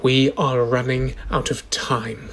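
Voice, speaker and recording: A young man in a radio drama playing a solemn advocate reading a logbook aloud, in a deliberate, ominous dramatic style. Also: mid-range voice, level tone, a bit bright, slight background noise. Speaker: actor in a school radio drama.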